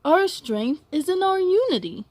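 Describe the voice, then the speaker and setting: A woman's voice: very high voice, sing-song, measured pace, a little mellow, no room sound, studio quality, pitched above the performer's own voice, heavily acted. A woman voicing young cube squares in a storybook, speaking earnestly and persuasively. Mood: earnest, rallying.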